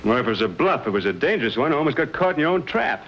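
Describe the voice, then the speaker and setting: An actor playing a plain-spoken, gallant frontier hero in a 1950s television western. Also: male voice, mid-range voice, sing-song, rapid-fire, fairly bright tone, highs cut off. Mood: stern. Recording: very noisy old TV soundtrack.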